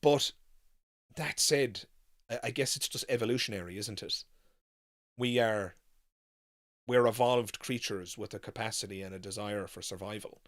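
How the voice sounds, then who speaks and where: A man's voice: lowish voice, moderate pace, long pauses. A man in his thirties hosting a casual live chat, musing in a rambling way and repeating phrases for emphasis. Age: 30s. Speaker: livestream podcast host.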